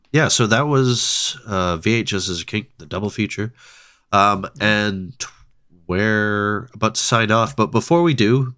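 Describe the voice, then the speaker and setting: A man co-hosting a casual film podcast, relaxed and thoughtful, with a stuffed-up nose. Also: low voice, clear, resonant tone, no room sound, clean studio sound. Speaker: podcast co-host.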